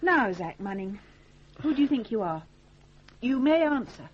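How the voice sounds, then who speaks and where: Forthright young lady in old radio play, highish voice, ordering people about, a bit dull and noisy recording. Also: sing-song, moderate pace. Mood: scolding, firm. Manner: commanding, firm, crisp retorts. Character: bossy, brisk, forthright young woman taking charge. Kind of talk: 1980s radio drama acting.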